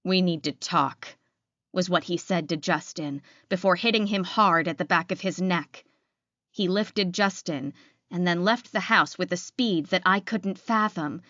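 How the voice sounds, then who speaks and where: A woman's voice: on the low side, measured pace, bright, forceful tone, dry recording, dull audio. Woman narrating fiction, very dry sound. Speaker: audiobook narrator. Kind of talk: scripted first-person fiction narration.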